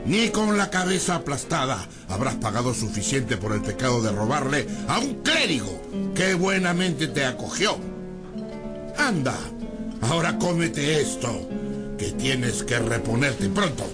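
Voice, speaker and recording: An actor playing a stingy, cruel priest in a radio drama, scolding and gloating with sanctimonious menace. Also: male voice, mid-range voice, sing-song, unhurried, loud music behind the voice. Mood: scolding, contemptuous. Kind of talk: theatrical radio drama acting.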